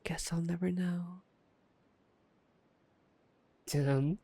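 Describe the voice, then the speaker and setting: Man playing a gravelly, teasing predatory vampire. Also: sits high, fairly even intonation, very slow, exaggerated voice. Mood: musing, wry. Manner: teasing, drawling, softly menacing. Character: taunting, playful, predatory male vampire. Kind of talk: intimate ASMR roleplay monologue.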